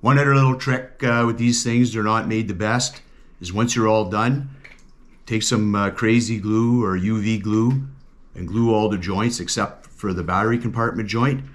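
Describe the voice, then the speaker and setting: Middle aged guy w a real low voice, plain matter-of-fact how-to talk at his workbench. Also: moderate pace. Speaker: outdoors channel host. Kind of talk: casual how-to demonstration. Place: indoors at a workbench.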